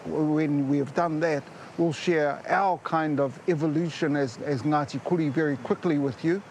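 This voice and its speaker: An older man with a Māori New Zealand English accent speaks slowly and ceremonially at a gathering, in a high voice. Clear outdoor noise sits behind his words. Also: fairly even intonation, darkish tone. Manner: measured, ceremonial, mixes in Māori phrases.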